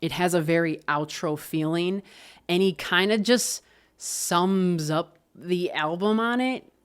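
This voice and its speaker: Low-voiced woman reviewing an album. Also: slow pace, smooth. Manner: loose and expressive, frequent swearing and laughing. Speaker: music reviewer. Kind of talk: casual album review monologue.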